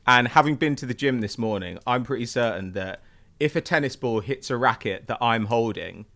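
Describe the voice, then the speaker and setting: A man with a bright, crisp-edged voice at a medium pitch hosts a casual podcast chat. He talks with dry, teasing humour, like someone among friends. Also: animated, normal speed. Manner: teasing, dryly humorous. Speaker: podcast host. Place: remote video call.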